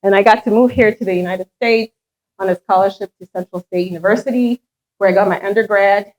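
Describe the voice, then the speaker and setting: A woman's voice: medium pitch, brisk, a little mellow, dull audio. Middle-aged woman telling a heartfelt story. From Ghana, raised in France. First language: French. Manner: earnest storytelling with light self-deprecating humor. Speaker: head of a charitable foundation. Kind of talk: public speech at a meet and greet.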